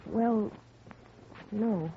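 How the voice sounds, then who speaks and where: A woman's voice: mid-range voice, very slow, creaky, husky, full character voice. An actress playing an earnest young woman accused of murder in a 1950s radio drama. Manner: earnest, pleading. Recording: dull old radio broadcast.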